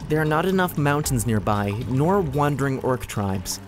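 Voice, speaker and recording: A man's voice: mid-range voice, expressive, moderate pace, music in the background, close to an everyday voice. A man playing a skeptical, insistent clansman in an audio drama, speaking emphatically. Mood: insistent disbelief.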